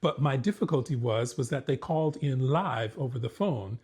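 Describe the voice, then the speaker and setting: An American man recounts his past in a relaxed conversation, his voice a bit husky and breathy. He tells his stories vividly, with restarts and a lot of laughter. His pitch sits in the middle of the male range. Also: moderate pace. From United States. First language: English. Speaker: guest, former actor. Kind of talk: casual storytelling interview.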